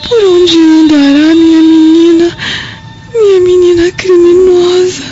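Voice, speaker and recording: A delirious old dying mom, high weak whispery voice, radio soap melodrama, dull sound w faint music. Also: female voice, little pitch movement, warm tone. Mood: tearful, bitter. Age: older. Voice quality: weak breathy voice breaking into whispers. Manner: slow, halting, repeats words. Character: delirious dying old mother, guilt-ridden and anguished. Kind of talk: melodramatic radio drama acting.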